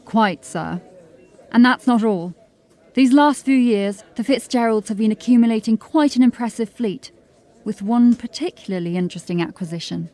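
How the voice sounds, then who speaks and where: A woman playing a diligent, drily witty police constable in a fantasy audio drama, articulate and measured. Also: fairly high pitch, dark tone, close to an everyday voice. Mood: dry, informative.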